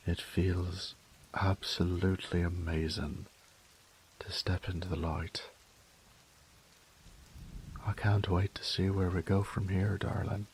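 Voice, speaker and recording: Casting male actor: reformed thief, romantic audio roleplay, slow gravelly delivery, teasing and tender, slipping into whispers. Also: low-pitched, a little mellow, slight background noise, heavily acted, low-quality recording. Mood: tender, hushed. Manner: flirtatious, murmuring, drops into whispers.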